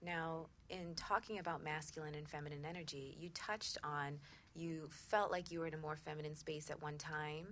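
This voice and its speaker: A woman's voice: sits low, fairly even intonation, normal speed, fairly bright tone. A woman hosting a conversational radio interview, affirming and supportive toward the guest. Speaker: interviewer, show host. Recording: clearly noisy background ambience.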